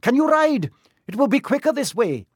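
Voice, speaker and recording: A man's voice: extremely high pitch, very animated, normal speed, husky, dry recording, clean studio sound, pitched above the performer's own voice, exaggerated voice. A man voicing a young male centaur from a storybook, speaking formally and earnestly, noble and defiant. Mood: gentle urgency. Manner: formal, earnest, emphatic speech. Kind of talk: storybook character voice.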